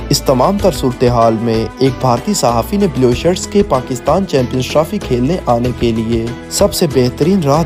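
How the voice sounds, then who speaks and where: A man's voice: medium pitch, darkish tone. A man with a Pakistani accent reads scripted news narration in a formal, declarative manner. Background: background music.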